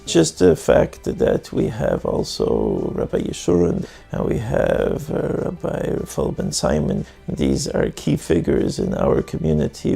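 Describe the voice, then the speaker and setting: A man's voice: very deep voice, creaky, dark tone, breathy edge. A man speaking about his community in a testimonial for a promotional video. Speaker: community member giving a testimonial. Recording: music playing behind the voice.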